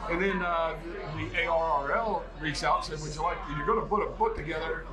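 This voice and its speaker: A man from North Carolina speaks in a slightly high voice with a roomy echo and music behind him. He is an informal, enthusiastic guest who rambles a little. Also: brisk. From North Carolina, United States. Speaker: guest, radio hobbyist. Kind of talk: casual on-camera interview chat.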